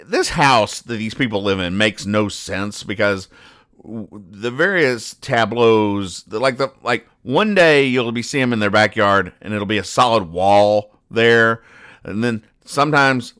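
A man hosting a podcast, reading out and explaining comic strips in a deadpan, mock-serious manner. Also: on the low side, measured pace, no room sound, clean studio sound. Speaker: podcast host.

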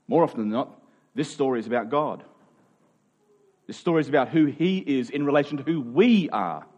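A man speaks as a pastor in a conversational sermon. His voice sits at an average male pitch. He feels his way through sentences, rephrasing as he goes and repeating phrases to drive them home. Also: lively intonation, a little mellow. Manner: halting, thinking aloud, with rephrasing and repetition for emphasis. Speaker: pastor, preacher.

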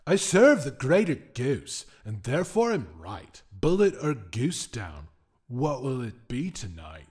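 A man's voice: a little high, sing-song, unhurried, breathy edge, pitched above the performer's own voice, heavily acted. A man voicing a pompous, deranged male Christmas ghost who rants and lectures in rhyme. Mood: indignant.

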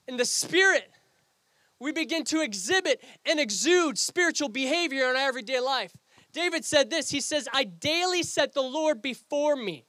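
A man's voice: extremely high pitch, bright, forceful tone, no echo. A man pastoring an outdoor service, preaching a sermon with a Canadian accent, earnest and prone to repeating and restarting phrases. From England.

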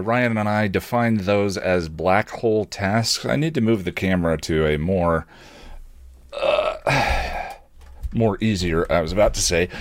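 A man's voice: low voice, no echo. A man hosting a casual solo podcast, rambling and interrupting himself with digressions and dry, self-deprecating humor. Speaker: podcast host.

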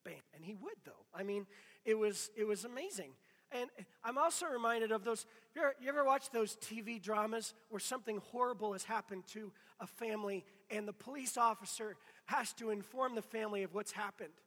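Hoarse middle-aged pastor, chatty and rambling, high-pitched, big hall echo. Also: male voice, a little fast, fairly bright tone. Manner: conversational, self-deprecating, many restarts. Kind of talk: casual sermon.

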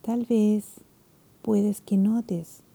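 A woman leading a guided meditation, speaking softly and soothingly, her phrases often trailing into whispers. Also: mid-range voice, level tone, extremely slow, breathy edge.

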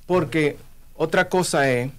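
A man's voice: on the high side, unhurried, clear, resonant tone. A man speaking with passion and emphasis about his faith in a conversation. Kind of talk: earnest conversational preaching.

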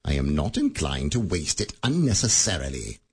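An actor playing a bitter, miserly old moneylender in a radio play, clipped and scornful. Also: male voice, very deep voice, big pitch swings, normal speed, husky, exaggerated voice. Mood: cold.

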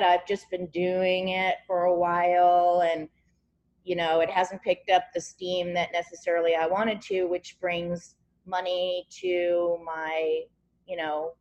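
A woman's voice: fairly low pitch, darkish tone, muffled recording. A woman hosting a podcast over a video call, talking casually in a rambling, encouraging way and often searching for words. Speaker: podcast host.

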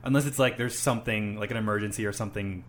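A man's voice: lowish voice, fast talker, slight background noise. A young man hosting a casual chat, rambling with many "um"s and "you know"s. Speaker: host, English teacher.

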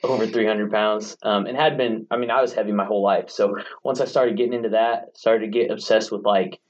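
A man in his late twenties, a gym owner, telling his story as a podcast guest in a relaxed, filler-heavy way. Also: lowish voice, fairly quick. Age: late 20s. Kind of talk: casual interview storytelling. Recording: dull lo-fi sound with faint noise.

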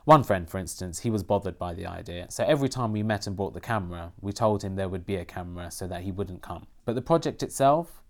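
A man's voice: deep voice, expressive, fast, hardly any pauses, darkish tone. A man from Iran whose first language is Persian, answering interview questions earnestly about acting in a film. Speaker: film protagonist, interview guest.

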